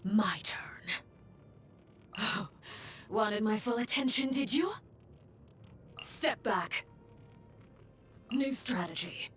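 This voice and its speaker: A woman playing a stern, composed and coldly menacing female leader in a video game, speaking in a measured, formal way with a breathy, hushed voice. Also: mid-range voice, lively intonation, soft background music, exaggerated voice. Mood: cool, commanding. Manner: measured, formal, deliberate, coolly restrained. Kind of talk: scripted video game character voice lines.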